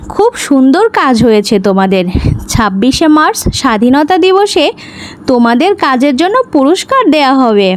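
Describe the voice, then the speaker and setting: A woman reading a children's story aloud from a script in short, separate phrases. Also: high voice. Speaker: storyteller, channel host. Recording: noticeable background noise.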